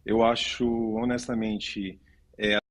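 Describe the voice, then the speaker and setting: A man from Pernambuco, a lawyer on a studio panel, warm and explanatory in a measured way. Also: lowish voice, little pitch movement. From Pernambuco, Brazil. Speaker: lawyer, commentator.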